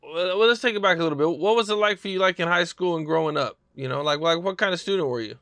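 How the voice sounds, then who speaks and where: Host: man, conversational interviewer, gravelly yet crisp and bright, slightly high. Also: fast talker, dry recording, studio quality. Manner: conversational, prompting questions. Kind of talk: casual interview.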